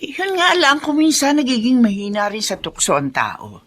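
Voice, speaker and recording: A woman's voice: a little high, huge pitch swings, normal speed, fairly bright tone, heavily acted. A woman playing an elderly, earnest, gossipy family friend in a radio drama, speaking frankly. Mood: earnest, confiding. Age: older.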